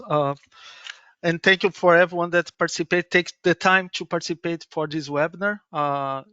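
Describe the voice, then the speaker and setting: A middle-aged man from Brazil with a Brazilian Portuguese accent, presenting a webinar in halting English full of restarts and tag "yes". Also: a little high, pushed and bright, clean tone, no echo, highs cut off. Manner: halting non-native English with many restarts and "yes" tags. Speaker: business development manager presenting a webinar.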